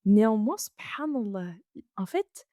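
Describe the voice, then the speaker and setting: A woman's voice: mid-range voice, animated, unhurried, dark tone, no echo, clean studio sound. A woman hosting a podcast interview, earnest and hesitant with many fillers. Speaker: podcast host. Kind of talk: interview conversation.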